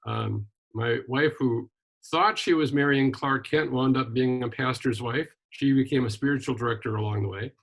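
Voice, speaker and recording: An older man from Wisconsin, a retired minister and journalist, telling his life story in a relaxed interview with frequent ums and restarts. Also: mid-range voice, moderate pace, vocal fry. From Wisconsin, USA. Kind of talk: casual conversational interview.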